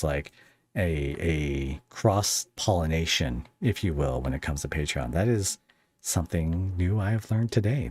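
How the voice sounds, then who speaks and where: A man's voice: low voice, a little mellow, husky. A man hosting a casual podcast interview, talking informally in a rambling, hesitant way.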